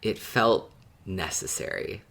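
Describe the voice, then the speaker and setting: A man's voice: on the low side, takes it slowly, fairly bright tone. A man co-hosting a podcast in casual chat, speaking in an agreeable, supportive way. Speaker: podcast co-host.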